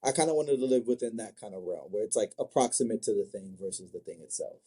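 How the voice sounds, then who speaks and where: A man from Africa chatting casually as an interview guest, thinking aloud in a halting, word-repeating way. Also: on the low side, animated, mellow, husky. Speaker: manga creator, podcast guest.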